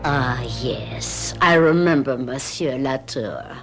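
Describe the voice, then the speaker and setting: A polite, knowing innkeeper in an old radio mystery. She speaks in a low voice, slowly and formally, with a hint of the uncanny. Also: animated, over music, full character voice. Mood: mysterious. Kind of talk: 1970s radio drama acting.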